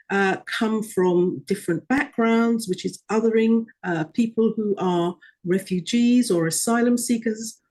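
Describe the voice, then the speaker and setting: A woman interviewee speaks in a measured, considered way. She pauses often to choose her words and keeps a steady, mid-pitched voice. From Guyana. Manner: measured, with frequent hesitations. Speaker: interview guest. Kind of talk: broadcast interview answers.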